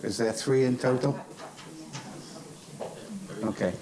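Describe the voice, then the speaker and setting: A British man from Cornwall giving semi-formal closing remarks at a conference, speaking in a halting, hesitant way. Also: mid-range voice, a crowd in the background. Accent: British English. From Cornwall, England. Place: indoor business school conference venue. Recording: clearly noisy conference room recording.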